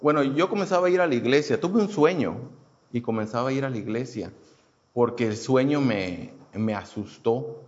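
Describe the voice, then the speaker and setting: A man's voice: medium pitch, expressive, normal speed, dull audio. A man in his thirties from Houston, telling his personal faith testimony in a casual, hesitant way with many fillers and restarts. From Houston, United States. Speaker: churchgoer giving a testimony.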